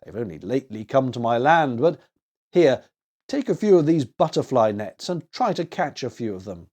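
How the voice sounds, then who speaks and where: Man as jolly old Santa, hearty, genial, busy, animated pitch, storybook character. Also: moderate pace. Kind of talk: children's storybook reading.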